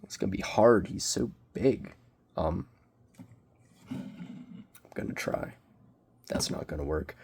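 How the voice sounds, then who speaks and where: A young man with a cold, sniffling as he reviews a collectible figure in casual, self-deprecating, excitable rambling. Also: deep voice, takes it slowly, creaky, long pauses, breathy edge. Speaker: toy reviewer. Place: small bedroom.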